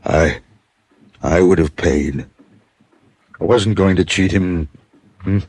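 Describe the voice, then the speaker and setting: An actor playing a weary, wounded, remorseful husband in a 1950s radio drama, husky and halting. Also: male voice, sits low, darkish tone, heavily acted. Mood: pained, resigned. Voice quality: husky, breathy. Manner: halting, broken phrases.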